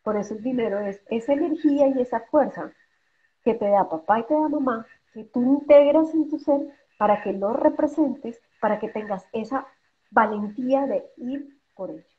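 A woman's voice: fairly high pitch, moderate pace. A woman in her forties, a guest speaker on a video call from a room at home, explaining with emphasis, asking rhetorical questions and acting out what parents say. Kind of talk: explanatory interview talk. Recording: dull lo-fi video call audio.

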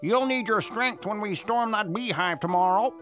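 High-voiced insect guard. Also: male voice, moderate pace. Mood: scheming, commanding. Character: male insect guard plotting a raid. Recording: loud music behind.

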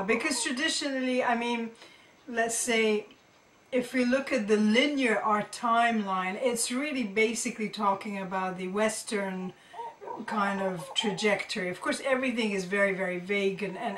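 A middle-aged woman gives an informal, explanatory talk as a teacher. Her voice sits at a medium pitch, and she thinks aloud, rewording her points as she goes. The sound is roomy and echoey, picked up a little way from the microphone. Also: a bit bright. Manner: conversational, thinking aloud, often rephrasing. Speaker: art teacher presenting tips to students. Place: indoor room with carved wooden panelling. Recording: roomy echo, distant microphone.

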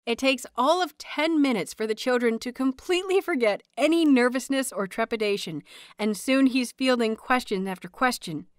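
Female audiobook narrator, pitch a little high, expressive storytelling, very dry sound. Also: moderate pace, dry recording.

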